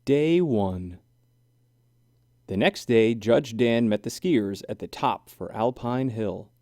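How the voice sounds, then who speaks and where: Male storybook reader, expressive and sing-song, unhurried pace, close dry sound. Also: mid-range voice, no room sound. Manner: animated, deliberate, sing-song rhyming delivery. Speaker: children's book reader. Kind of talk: expressive storybook read-aloud.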